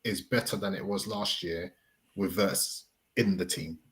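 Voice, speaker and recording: A young man on a video call, teasing and slangy, talking football in an animated way. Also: sits low, unhurried. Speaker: football podcast panelist. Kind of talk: teasing casual banter.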